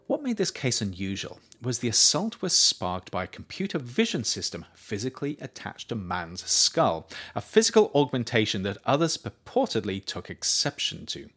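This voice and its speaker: A man reading his own nonfiction book aloud as scripted narration, in a measured, explanatory way with emphatic phrase openings. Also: lowish voice, fairly bright tone, breathy edge.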